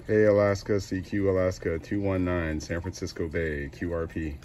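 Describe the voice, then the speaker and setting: A man's voice: sits low, flat delivery, moderate pace, a little mellow, slight background noise. An American man making casual CB radio calls in a clipped way, repeating call signs. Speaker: CB radio operator.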